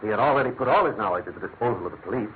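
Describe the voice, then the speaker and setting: An actor playing a distraught gentleman colonel in a classic radio drama, stiff and formal. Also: on the low side, expressive, very fast, lightly acted. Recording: tinny, faintly noisy vintage radio.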